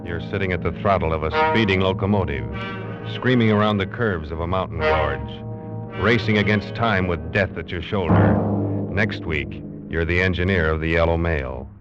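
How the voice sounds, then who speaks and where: An actor playing an ominous, gripping narrator of a 1940s radio thriller teaser. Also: male voice, sits low, normal speed, long pauses, close to an everyday voice. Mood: urgent, ominous. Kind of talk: 1940s radio dramatic second-person teaser. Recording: muffled 1940s radio recording. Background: loud dramatic music with timpani.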